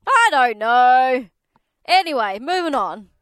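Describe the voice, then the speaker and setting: A woman, co-hosting a casual radio chat, laughing easily and teasing back quickly. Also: high-pitched, very animated, a bit bright, smooth, no room sound, studio quality. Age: 30s. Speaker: radio co-host.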